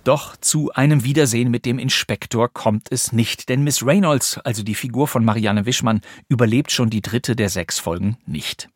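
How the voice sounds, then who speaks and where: A male podcast host reads scripted narration in a conversational way. His voice is medium-pitched, close on the microphone in a very dry studio. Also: moderate pace, few pauses, no room sound.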